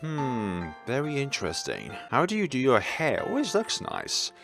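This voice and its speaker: A man's voice: mid-range voice, wildly swooping pitch, moderate pace, gravelly, over music, pitched above the performer's own voice. A man voicing an anxious, polite schoolboy in a visual novel, tentative and apologetic. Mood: curious.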